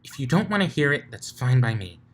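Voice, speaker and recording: A man's voice: medium pitch, big pitch swings, brisk. A man voicing a bookish, easily exasperated female unicorn in a storybook reading, explaining things huffily.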